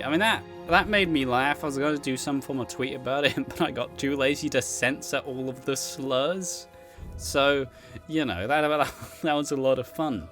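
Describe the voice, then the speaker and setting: A man's voice: medium pitch, fairly bright tone. Sweary, self-deprecating man chatting over music. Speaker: host, streamer and content creator. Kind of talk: casual rambling solo chat, joking. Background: background music.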